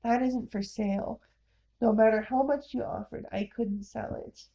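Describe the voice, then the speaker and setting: A woman's voice: a little high, steady tone, moderate pace, gravelly, warm tone, a little hiss or hum, rough recording. A woman voicing an embittered middle-aged man, a one-legged war veteran, who speaks in a weary, downhearted and plain way. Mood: sorrowful.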